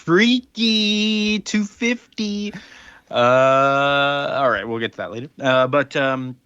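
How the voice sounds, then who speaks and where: A man's voice: mid-range voice, takes it slowly, pushed and bright, clean tone, dry recording, highs cut off. A man hosting a podcast, recapping in casual chat and loosely acting out dialogue in an informal way. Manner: informal, acts out characters' dialogue in loose paraphrase. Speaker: podcast host.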